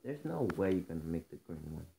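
A man's voice: sits low, big pitch swings, fast, creaky. A man trash-talking and teasing his opponent while playing a pool game in casual chat. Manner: teasing, boastful trash talk. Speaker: gameplay co-player. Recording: noisy home recording.

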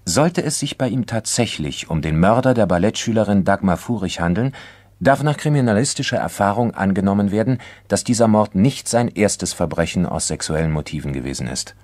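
A man narrating a crime documentary drama from a script in a formal, measured manner. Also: lowish voice, expressive, darkish tone, no room sound.

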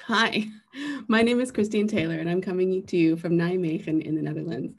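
Low-voiced woman introducing herself. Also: steady tone, fairly quick. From United States. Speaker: panel speaker. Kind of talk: webinar self-introduction. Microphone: video call.

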